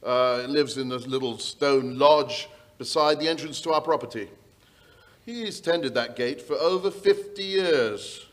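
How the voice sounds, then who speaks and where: A man's voice: mid-range voice, a little slow, natural voice. A middle-aged man playing a worried, polite aristocratic landowner, telling his tale hesitantly in old-time radio style. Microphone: shared stage microphone.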